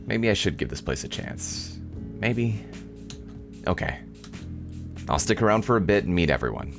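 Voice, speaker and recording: Man as a young isekai hero, low voice, dry, incredulous, expressive pitch, light music behind. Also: normal speed. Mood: resigned. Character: sardonic, disappointed young male isekai protagonist. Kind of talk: visual novel narration and dialogue.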